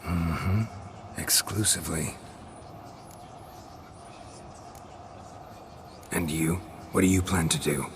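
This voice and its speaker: Gruff male monster hunter, rock-bottom pitch, gravelly, laconic, dry wit, game dialogue, slight background noise. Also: unhurried. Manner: terse, deadpan. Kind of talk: video game voice acting.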